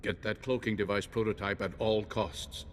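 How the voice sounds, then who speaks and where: An actor playing a grave, authoritative commander in a video game, stern and steady. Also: male voice, fairly low pitch, moderate pace, lightly acted. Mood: resolute. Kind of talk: video game cutscene acting. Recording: hall reverb with faint ambient noise.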